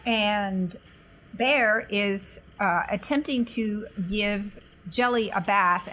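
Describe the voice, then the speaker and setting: A woman's voice: mid-range voice, background noise. A woman playing a tabletop roleplaying game, casually describing and improvising what her character does.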